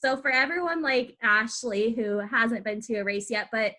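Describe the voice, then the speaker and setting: A woman's voice: a little high, moderate pace, sharp tone. A woman hosting a podcast, asking rambling, chatty questions with fillers. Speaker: podcast host. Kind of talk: casual podcast interview.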